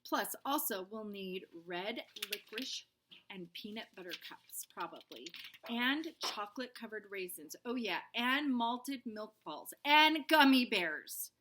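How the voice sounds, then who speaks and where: A woman voicing an excitable, blunt kindergarten girl in a children's storybook, with childlike, emphatic phrasing. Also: medium pitch, measured pace, a little hiss or hum. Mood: excited, bossy. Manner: childlike, emphatic, babyish grammar. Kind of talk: children's storybook read-aloud.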